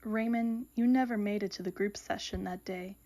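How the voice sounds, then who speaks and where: A woman's voice: mid-range voice, fairly even intonation, dark tone, lightly acted. Woman playing a solemn all-knowing female grim reaper. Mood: solemn, consoling. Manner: measured, oracular, dramatic pronouncements. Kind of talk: audio mystery drama acting.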